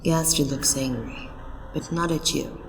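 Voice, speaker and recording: A woman's voice: sits low, a little slow, noisy background. A woman reading scripted stage directions as a narrator, with reverb and music around her. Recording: long reverb, music behind.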